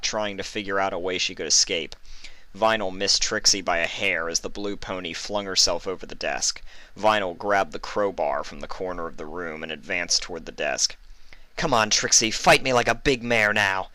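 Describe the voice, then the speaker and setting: A man's voice: low voice, sharp tone, no room sound. A man narrating a scripted story dramatically. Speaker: fan fiction narrator.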